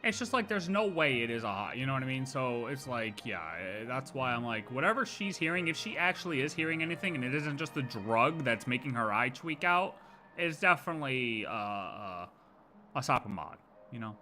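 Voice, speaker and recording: Animated, bright-voiced male game streamer. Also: medium pitch, a little fast, soft background music. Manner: slangy, sweary, mocking, acts out imagined quotes. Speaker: streamer reacting to a game story. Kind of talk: casual live reaction commentary.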